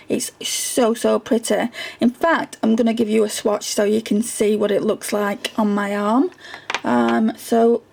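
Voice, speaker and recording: Woman, beauty vlogger, gravelly and fading hoarse, chatty with lots of ums. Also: medium pitch, darkish tone, slight background noise. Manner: chatty, frequent ums, repeats words for emphasis. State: voice going hoarse. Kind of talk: casual product review.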